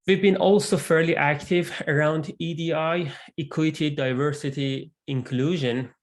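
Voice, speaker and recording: A man who is not a native English speaker, presenting his research group's work in an online meeting, measured and with frequent fillers. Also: fairly high pitch, steady tone. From immigrant to Canada. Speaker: research lab lead presenting. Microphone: computer mic on video call.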